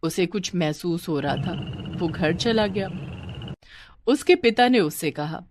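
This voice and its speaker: A woman's voice: fairly low pitch, lively intonation, measured pace, background noise. A woman reading a scripted moral bedtime story as a storyteller.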